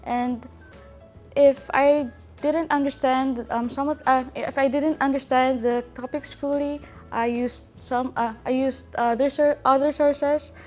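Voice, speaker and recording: A woman's voice: high voice, fairly even intonation, measured pace, darkish tone, faint music behind. A woman from the UK, a medical student, explaining the exam format with hesitant fillers. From United Kingdom. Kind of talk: interview answers.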